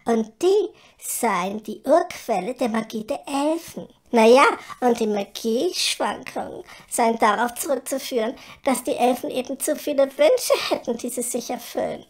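Woman playing a high, animated, vain fairy. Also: unhurried. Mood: chatty explaining. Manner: theatrical, exclaiming, airy, shifting to chatty explaining. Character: vain, flighty female fairy, evasive and chatty. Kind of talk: comedy audio drama.

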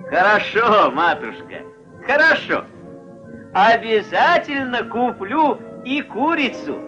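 An actor playing an eager, earnest, respectful poor woodcutter in a puppet-film fairy tale. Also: male voice, high voice, sing-song, slow pace, over music, full character voice. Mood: eager, cheerful. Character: eager, polite poor young woodcutter, male. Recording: dull old film soundtrack.

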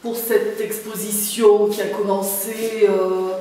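A middle-aged woman from French Guiana giving an informal interview about her gallery, speaking hesitantly with long pauses as she searches for words. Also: medium pitch, flat delivery, mellow. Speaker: artist and gallery owner being interviewed. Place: indoor art gallery with hard floor. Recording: very echoey room, distant mic, faint noise.

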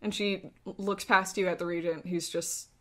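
A woman's voice: lowish voice, fairly even intonation, moderate pace, bright, forceful tone. A woman narrating a tabletop game as game master, in a casual, descriptive way.

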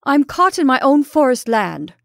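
A woman's voice: high voice, very animated, moderate pace, creaky, dry recording, pitched above the performer's own voice, full character voice. Woman putting on a fierce proud landowner, raised voice, indignant, storybook reading, dry sound. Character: fierce, proud male landowner trapped under a tree.

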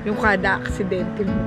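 A young woman from the Philippines chats casually into a camera held close, speaking softly and adding to her partner's thoughts. She sits outdoors at night. Loud music fills the background. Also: mid-range voice. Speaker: vlogger's partner. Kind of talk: casual chat. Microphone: camera held close in selfie framing.

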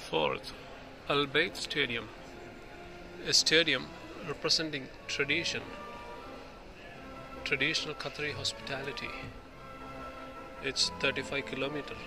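A man filming in an indoor exhibition hall, reading the display panels aloud slowly, pausing between phrases and restarting when he slips. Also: medium pitch, fairly even intonation. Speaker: video host touring an exhibition. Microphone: handheld phone camera. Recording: loud music in the hall. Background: music playing.

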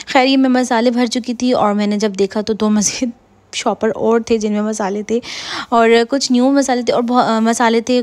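Woman, 30s, slightly high voice, chatty vlog voice-over, rambling, laughs softly. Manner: chatty, rambling, with frequent fillers and soft laughs. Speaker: vlogger. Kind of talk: casual voice-over narration of a cooking vlog. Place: home kitchen.

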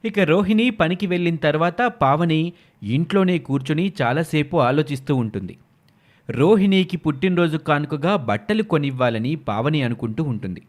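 A man's voice: fairly high pitch, expressive, moderate pace. A man narrating a scripted moral story as a dramatic storyteller.

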